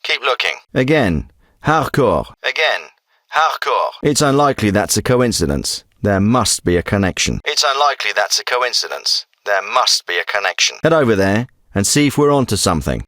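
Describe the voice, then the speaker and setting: A man's voice: mid-range voice, bright, forceful tone, no echo. A man voicing a businesslike military intelligence handler in a video game, giving mission briefings with clipped, purposeful delivery. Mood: focused, intent.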